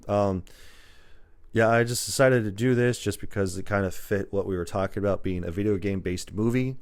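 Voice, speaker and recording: A man's voice: on the low side, a little fast, a little mellow, clear, resonant tone. A man hosting a podcast in casual, informal chat, rambling as he goes. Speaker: podcast host.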